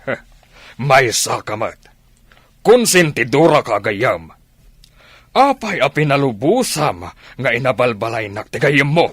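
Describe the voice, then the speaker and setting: An angry, domineering man in an Ilocano radio drama, with an Ilocano accent. His voice is rough and husky, swinging widely in pitch. He speaks slowly and accusingly, in a dry, close recording. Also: sing-song, a bit bright, dry recording, exaggerated voice. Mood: accusing, stern. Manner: scornful, accusing, exclamatory. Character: angry, domineering man evicting a young woman.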